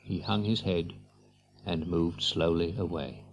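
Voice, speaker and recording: A man narrating a children's story with an expressive, theatrical storyteller's delivery. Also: low-pitched, measured pace, slight background noise, poor audio.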